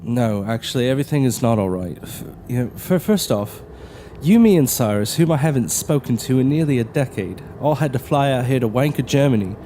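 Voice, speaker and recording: An actor playing an irritable, sarcastic younger brother who grumbles, in naturalistic audio drama dialogue. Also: male voice, medium pitch, lively intonation, brisk, few pauses, warm tone, close to an everyday voice. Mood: irritated, sarcastic. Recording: faint background noise.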